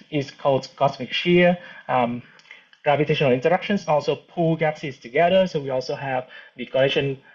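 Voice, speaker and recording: A man, giving an academic seminar talk in a halting, relaxed way with frequent restarts. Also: medium pitch. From Vietnam. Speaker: cosmology researcher giving a seminar. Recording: dull lo-fi recording.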